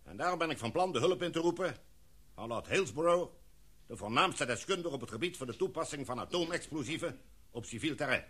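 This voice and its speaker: A man's voice: on the high side, fairly bright tone, a little hiss or hum. A man playing a commanding expedition chief. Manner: formal, speech-like address to a meeting. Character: commanding male expedition leader, formal and decisive. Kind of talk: radio play acting.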